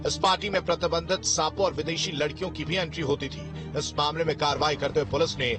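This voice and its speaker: A man's voice: fairly high pitch, steady tone, fast. A man narrating a scripted news report in a dramatic, emphatic, sensational voice-over style. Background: loud music bed.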